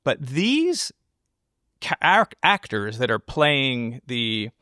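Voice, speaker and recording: A man's voice: medium pitch, very animated, slow pace, sharp tone, no room sound, studio quality. A man hosting a casual film discussion, thinking aloud in rambling, speculative explanations. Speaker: podcast host analyzing a film.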